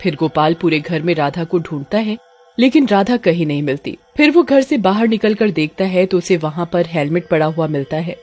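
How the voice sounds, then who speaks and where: A woman's voice: lowish voice, expressive, moderate pace, darkish tone. A woman giving scripted story narration for a cartoon.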